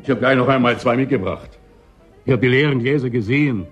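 A man's voice: medium pitch, fast, dull audio, soft background music, close to an everyday voice. A man playing a courteous, obliging and knowing bar waiter in a German radio drama.

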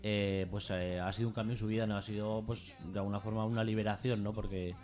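A man's voice: fairly low pitch, flat delivery, fast, a little mellow. A man with a Peninsular Spanish accent speaking informally as an activist guest in a radio interview, hesitating, rambling and repeating himself.

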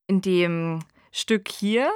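A woman's voice: lowish voice, drawn-out words, smooth, no echo. A woman hosting a podcast, discussing in a thoughtful, explanatory way on a close microphone. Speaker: podcast host. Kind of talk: reflective discussion of radio drama.

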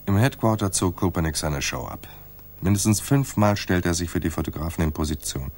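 A man's voice: deep voice, brisk, a little mellow. An actor playing a wry, hard-boiled private detective in a German radio play, dry and laconic in his delivery. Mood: dry, narrating. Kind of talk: German radio play acting, noir narration. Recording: noisy 1980s radio play recording.

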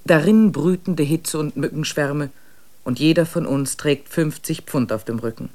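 A man narrating a wilderness expedition diary in a radio drama, measured and matter-of-fact. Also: on the high side, a little mellow.

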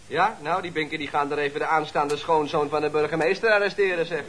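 A man's voice: fairly high pitch, fairly even intonation, fast, fairly bright tone, a little hiss or hum, lightly acted. A man playing a cheeky, unbothered young nephew in an old radio comedy, slangy and mumbling his words. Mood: smug. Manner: nonchalant, slangy, mumbled articulation. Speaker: comic actor in a radio comedy series. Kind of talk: 1970s Dutch radio comedy acting.

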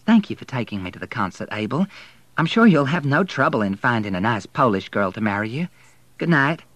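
A man voicing a haughty young Southern lady, prim, brisk and condescending, in an audiobook novel. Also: mid-range voice, big pitch swings, a bit bright, dry recording, muffled recording, voice pushed up. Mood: gracious, brisk.

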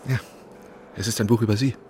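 Writer asking questions played by a man, low, earnest, natural radio-play delivery, faint ambient noise. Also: steady tone, fast talker, mellow, natural voice. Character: earnest, probing male writer questioning his friend. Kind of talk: German radio drama acting.

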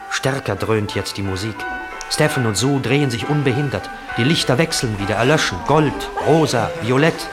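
A man's voice: mid-range voice, moderate pace, close to an everyday voice. A man narrating a literary radio drama as an observant storyteller, in precise, descriptive, clipped phrases. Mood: building intensity. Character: observant narrator of a brothel scene. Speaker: narrator of stage directions. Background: music.

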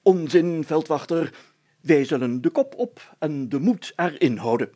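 A man's voice: fairly high pitch, expressive, measured pace, mellow, no echo, voice pushed up. A man voicing a pompous, optimistic village mayor in a storybook, speaking emphatically and with self-importance. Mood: upbeat, commanding.

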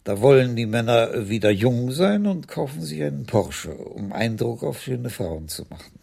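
Wily aging police chief, gravelly and husky. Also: male voice, medium pitch, big pitch swings, normal speed, dark tone, exaggerated voice. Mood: needling. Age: 60s. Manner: dry, evasive, teasing. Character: wily, unflappable, impish aging police commissaris. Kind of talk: German radio crime drama acting.

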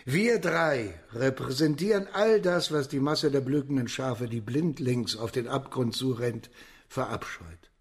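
A man's voice: mid-range voice, unhurried, natural voice. An actor playing a cynical, subversive man in a radio drama, holding forth in a sardonic, lecturing manner. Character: cynical, subversive man, theorizing mentor type. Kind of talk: German radio drama acting.

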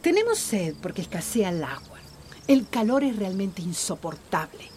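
Radio drama: fed-up, sarcastic village woman, played by an actress, lively and combative, slight background noise. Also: mid-range voice, normal speed, close to an everyday voice. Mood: scornful, indignant. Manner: sarcastic, confrontational, ranting. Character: angry, fed-up village woman railing about drought.

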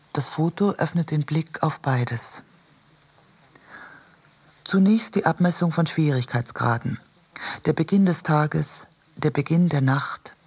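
A man with a husky, gravelly voice reading literary prose as a narrator, measured and contemplative. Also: a little high, darkish tone.

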